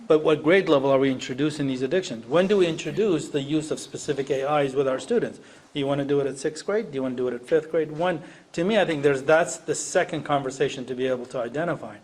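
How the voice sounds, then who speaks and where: A man's voice: on the high side, fast talker, a little mellow. A man from Iraq speaking unscripted on a panel, emphatic, repeating phrases and posing rhetorical questions. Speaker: education panelist, former science teacher. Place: live panel event.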